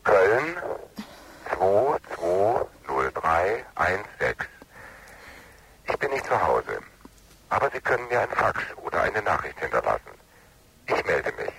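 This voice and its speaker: An actor playing a smooth, sentimental lover in a radio drama, with a gravelly voice and florid, measured phrasing. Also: male voice, very animated, a bit bright, heavily acted, poor audio. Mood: matter-of-fact. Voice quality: gravelly, very deep.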